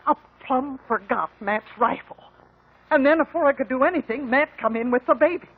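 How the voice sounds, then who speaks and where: A husky rural American country mother, confesses in halting, folksy words. Her voice sits high, in the acting style of a 1950s radio drama. The old recording is muffled. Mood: distressed confession. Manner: halting, plain folksy speech.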